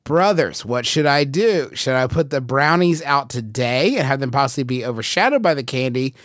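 A man co-hosts a comedy podcast in casual banter. He riffs emphatically, with mock exasperation, at a middling pitch. The sound is dry and free of room echo. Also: animated, normal speed, a bit bright. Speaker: podcast host.

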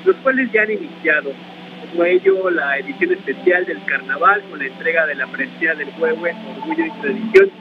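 A man reports live for the news over the phone, formal and quick. The line is tinny and phone-like, with clear noise behind him. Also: fairly high pitch, sing-song. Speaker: field reporter.

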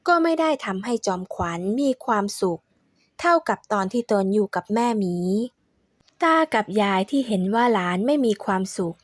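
A woman narrating a folk tale in a steady, measured storytelling voice. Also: mid-range voice, no echo, studio quality.

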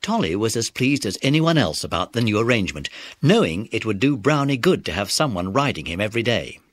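A man's voice: lowish voice, sing-song, brisk, no echo. A British man narrating a scripted children's story.

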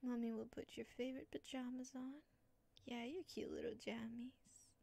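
A woman speaking in soft, breathy whispers in a soothing ASMR roleplay as a comforting motherly caretaker. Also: fairly high pitch, fairly even intonation, normal speed. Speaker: ASMR creator.

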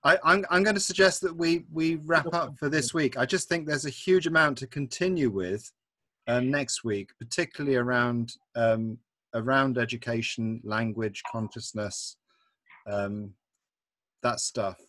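A man in his forties hosting a discussion group on a video call, in a friendly, brisk organising manner. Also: medium pitch, sharp tone, no room sound. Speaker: meeting host. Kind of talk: casual video call chat. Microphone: video call laptop mic.

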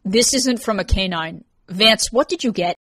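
A woman's voice: medium pitch, moderate pace, fairly bright tone, no room sound, studio quality, close to an everyday voice. A woman voicing a glum, blunt young woman character in a story narration. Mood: probing, blunt.

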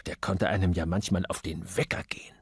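A man's voice: deep voice, steady tone, brisk, slightly rough, no room sound, clean studio sound, voice pushed down. A man voicing a plain-spoken, mildly scornful young female typist in an audiobook, gossiping matter-of-factly. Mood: wry gossip. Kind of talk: audiobook character voice.

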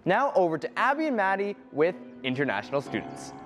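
A teenage student host asking interview questions and reading a scripted wrap-up in an earnest way. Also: male voice, sits high, wildly swooping pitch, brisk, fairly bright tone, soft background music.

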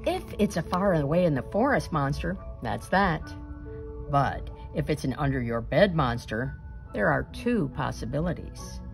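A woman reading a children's picture book aloud expressively, with deliberate, dramatic emphasis and suspenseful pauses. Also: deep voice, big pitch swings, gravelly, soft background music.

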